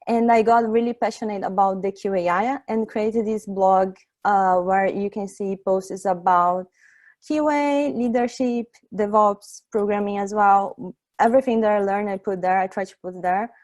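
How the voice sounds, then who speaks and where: A woman in her thirties from Brazil with a Brazilian Portuguese accent, giving an informal tech meetup presentation in a chatty way, often pausing on "um" and checking in with "right?". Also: mid-range voice, steady tone, darkish tone. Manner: chatty, frequent "um" and "right?" tags.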